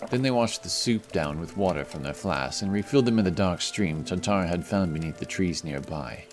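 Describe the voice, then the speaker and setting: A man's voice: low voice, expressive, normal speed. A man reading scripted fantasy story narration as the narrator of an audio drama. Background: music.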